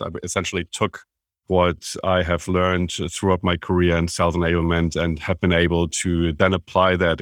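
A man with a very low voice and a German accent talks as a podcast guest. He explains at length and with open enthusiasm. The sound is dry and close. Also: fairly bright tone, no echo. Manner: enthusiastic, self-described business nerd, explains at length. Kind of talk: conversational interview.

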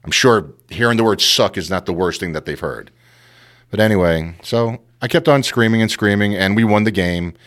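A man from Queens, telling a casual, animated story as a guest and acting out the voices of the people in it. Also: on the low side, normal speed. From Queens, New York. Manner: animated, acts out voices, lots of "you know".

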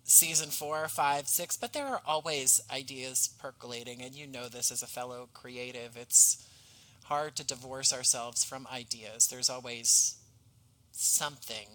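Bright-voiced man, thoughtful interview guest. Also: mid-range voice, a little slow, rough recording. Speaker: podcast guest, audio drama writer.

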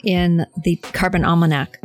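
A woman's voice: on the low side, fairly even intonation, moderate pace, soft background music. A woman from Canada talking casually as a podcast guest, chatty and self-deprecating, laughing easily. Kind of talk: casual chat.

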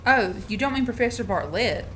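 High-voiced, sharp-tongued young woman played by an actress. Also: lively intonation, brisk. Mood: skeptical. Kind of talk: radio play acting. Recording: noisy student radio play recording.

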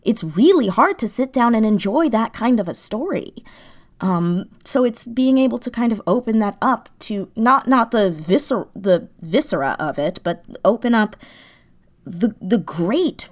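A woman's voice: on the high side, expressive, unhurried. A woman being interviewed in a casual, reflective way, thoughtful and often correcting herself as she searches for words. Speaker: audio drama creator being interviewed.

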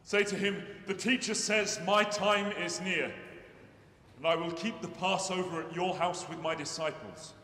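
A man's voice: sits high, steady tone, unhurried, pushed and bright. A man playing a male teacher giving commands in a declamatory dramatic scripture reading. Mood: calm, commanding. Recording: echoey hall with long reverb.

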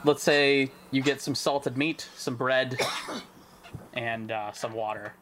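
A man runs a tabletop game with relaxed, chatty narration, describing scenes and asking the players what they do. Faint background noise sits under his voice. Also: medium pitch, slow pace, poor audio. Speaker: game master.

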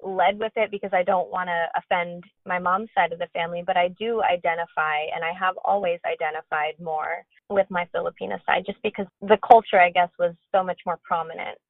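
Woman co-host, tinny phone-line sound, lowish voice, earnest emphatic chat. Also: fairly even intonation, brisk, hardly any pauses, no echo. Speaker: podcast co-host. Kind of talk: casual conversational podcast.

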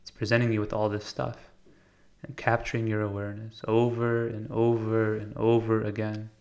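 A man with an American accent talking casually and reflectively as a podcast host, earnest and informal, often pausing to search for words. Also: lowish voice, completely flat delivery, gravelly, darkish tone. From United States. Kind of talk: casual reflective monologue on meditation.

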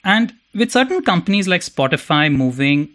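A man's voice: high-pitched, very animated, normal speed, fairly bright tone. A man hosting a podcast who reads his introduction in a theatrical, playful, self-mocking way and then interviews his guests. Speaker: podcast host.

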